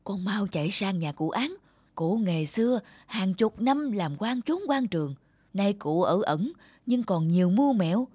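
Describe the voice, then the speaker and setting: A woman's voice: medium pitch, animated, normal speed, a little mellow, husky, no echo. A woman voicing a shrewd, cautious middle-aged landlord's wife in a cartoon, firm and advising. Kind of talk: cartoon dialogue.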